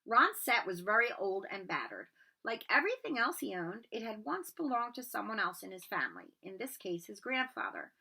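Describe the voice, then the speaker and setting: A woman narrating a storybook aloud in an expressive read-aloud style. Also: mid-range voice, moderate pace, vocal fry, husky.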